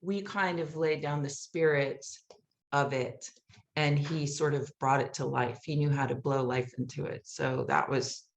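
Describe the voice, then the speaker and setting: A woman's voice: sits low, fairly even intonation, measured pace. A woman chatting casually as an interview guest, emphatic and repeating herself to make a point. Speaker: guest, theatre director. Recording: roomy echo on the voice.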